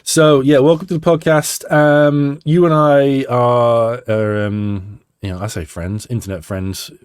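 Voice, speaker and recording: A man's voice: mid-range voice, steady tone, a little slow, smooth, no echo, clean studio sound. A man hosting a casual podcast, chatty and self-deprecating, a little stuffy from a cold. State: slightly stuffy nose from a cold. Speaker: podcast host. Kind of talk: casual chat.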